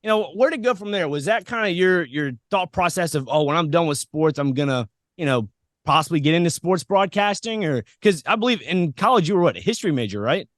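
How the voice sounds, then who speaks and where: Higher-pitched American man, casual podcast host. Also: lively intonation, a little fast, a bit bright, no echo, studio quality. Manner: chatty, rambling, informal. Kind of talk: casual conversational interview. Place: podcast set.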